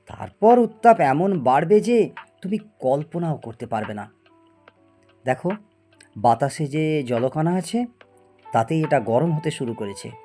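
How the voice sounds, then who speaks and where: Male actor as obsessive proud scientist, audio story drama, theatrical, mellow tone, light music behind. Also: fairly high pitch, lively intonation, unhurried, long pauses. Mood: excited, explaining. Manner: theatrical, stammers when agitated. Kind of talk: Bengali audio story acting.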